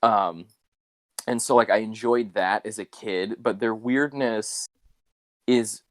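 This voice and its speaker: A young man hosting a casual, unscripted podcast, rambling a little as he thinks out loud. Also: fairly low pitch, takes it slowly, no echo. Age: young adult. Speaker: podcast host.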